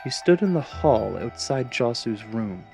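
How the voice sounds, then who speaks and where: A man's voice: mid-range voice, sing-song, a little slow, gravelly, mellow, faint music behind. A man narrating a scripted fantasy story in a dramatic storytelling style.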